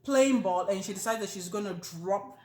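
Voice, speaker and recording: A woman with a Nigerian accent, co-hosting a casual chat, joking and animated with many fillers. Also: mid-range voice, moderate pace. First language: not Yoruba. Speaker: co-host. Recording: echoey room.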